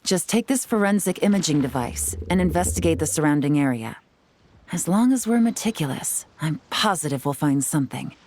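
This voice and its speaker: A woman's voice: sits low, lively intonation, fairly quick, a little mellow, a little hiss or hum. A woman playing a cool, shrewd, confident intelligence agent in a video game, speaking in an assured, instructive way.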